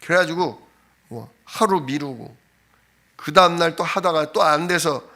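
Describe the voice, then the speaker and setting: A man preaching in a conversational style, telling a personal story informally, slightly hoarse from a cold. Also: on the high side, unhurried, bright, forceful tone. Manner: anecdotal, informal storytelling with frequent fillers. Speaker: pastor. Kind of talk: conversational sermon. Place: church sanctuary.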